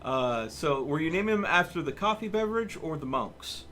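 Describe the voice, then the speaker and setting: A man's voice: a little high, animated, normal speed, pushed and bright, soft background music. A man hosting a casual game livestream, chatting and thinking aloud in a rambling way. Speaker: livestream host. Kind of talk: casual chat while live streaming a video game.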